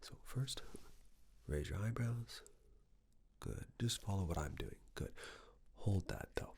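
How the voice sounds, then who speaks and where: Very deep, slow, whispering ASMR man. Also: expressive, gravelly, husky. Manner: hushed, gentle step-by-step instructions. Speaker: ASMR performer playing a clinician giving a cranial nerve exam. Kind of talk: ASMR medical roleplay, soft near-whisper.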